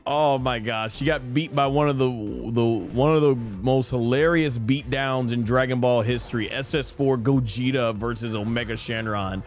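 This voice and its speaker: A man's voice: mid-range voice, normal speed, hardly any pauses. A man chatting casually as he commentates on a video game live. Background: game music.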